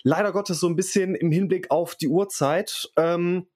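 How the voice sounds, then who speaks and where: A man's voice: high voice, steady tone, moderate pace, darkish tone. A man hosting a music interview, speaking with enthusiasm and frequent hesitant fillers. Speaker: podcast host and interviewer.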